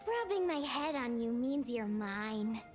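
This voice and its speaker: A woman's voice: fairly high pitch, lively intonation, a little slow, soft background music. A woman voicing a playful, bossy little female magical cat for a video game, cute, childlike and possessive. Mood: cute, demanding. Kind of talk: video game character voice lines.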